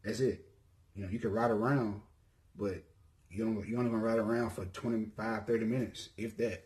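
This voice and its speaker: Man, twenties, Southern accent, lowish voice, casual vlog chat, rambling, lots of ums. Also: fairly even intonation, a little fast, darkish tone. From Mississippi. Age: 20s. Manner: laid-back, rambling, frequent ums and self-corrections. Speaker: YouTuber. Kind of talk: casual self-introduction vlog.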